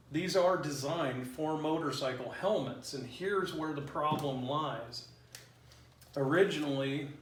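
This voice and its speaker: A man giving a casual, unscripted how-to in plain, informal language, as a hobbyist presenter. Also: medium pitch. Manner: plain-spoken, informal, colloquial. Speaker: hobbyist presenter demonstrating a DIY headset build. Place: roomy garage. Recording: roomy echo, distant mic, faint noise.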